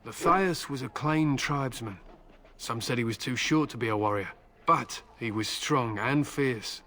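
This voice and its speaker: An actor playing a proud, gruff fantasy warrior in a video game, speaking formally and solemnly. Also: male voice, medium pitch, unhurried. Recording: loud noise behind voice.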